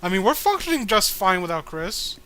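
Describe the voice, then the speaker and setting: Man doing a high, whiny, reluctant spirit sidekick. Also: sing-song, fairly quick, sharp tone, full character voice. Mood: pleading. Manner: hesitant, whiny pleading. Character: reluctant, pleading dog-spirit sidekick, sick of its master. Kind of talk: comic dramatic reading of a webcomic.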